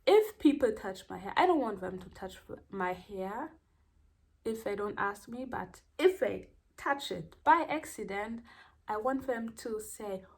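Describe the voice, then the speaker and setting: A woman with a strong German accent chatting casually in a vlog, rambling and pausing with fillers as she talks. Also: mid-range voice, expressive. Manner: unhurried, rambling, with many pauses and fillers. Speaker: hair vlogger. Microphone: voiceover microphone.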